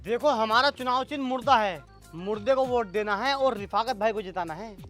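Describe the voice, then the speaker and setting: A man acts out a brash, side-switching village election campaigner from a comedy sketch. His voice sits extremely high and he declaims like a born sloganeer. Faint music plays behind him. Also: normal speed, fairly bright tone. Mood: cocky. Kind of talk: comedy skit acting, slogan chanting.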